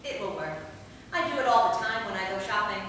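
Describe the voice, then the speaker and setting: A woman's voice: mid-range voice, expressive, a little fast, a bit bright, lightly acted. A woman playing a determined, practical female vampire in a staged play reading, with a firm, matter-of-fact delivery. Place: theater hall, recorded from a distance. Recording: very echoey hall, far mic, noisy.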